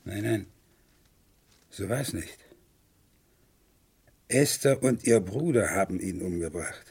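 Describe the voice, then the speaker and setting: An actor playing a distraught, haunted murder suspect in a German radio crime play, speaking in a halting, repetitive, anguished way. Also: male voice, low voice, lively intonation, darkish tone, husky, exaggerated voice. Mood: defensive.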